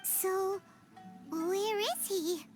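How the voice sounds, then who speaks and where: A woman voicing a tiny, excitable, childlike fairy companion in a video game, squeaky, wide-eyed and exclamatory. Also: extremely slow, exaggerated voice. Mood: curious, eager. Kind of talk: video game voice acting, cartoonish. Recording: loud music under the voice.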